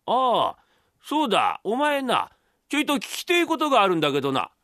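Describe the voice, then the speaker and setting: A man performing rakugo as a gruff older merchant father with a Tokyo accent, brusque and commanding. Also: high voice, wildly swooping pitch, brisk, pushed and bright, voice pushed up, heavily acted. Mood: questioning, scolding. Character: stern, gruff older merchant father, doting but suspicious. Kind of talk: rakugo storytelling.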